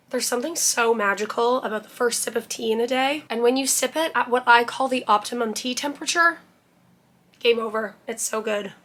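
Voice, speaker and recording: A young woman from Canada, hoarse and a little rusty from laryngitis, chatting casually to camera as a book vlogger, self-deprecating and full of asides. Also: a little high, moderate pace, fairly bright tone.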